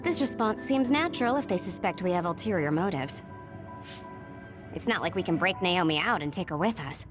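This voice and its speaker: A woman playing a shrewd, level-headed soldier in an anime-style game dub, with a measured, analytical delivery. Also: fairly low pitch, animated, lightly acted. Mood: cool reasoning. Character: shrewd, calculating, level-headed young soldier, coolly pragmatic. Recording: loud music behind.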